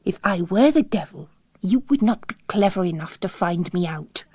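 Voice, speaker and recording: A woman voicing a mysterious male priest, calm, formal and philosophical, with a quietly menacing way of preaching. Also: lowish voice, breathy edge, no room sound, clean studio sound. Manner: measured, formal, sermonizing.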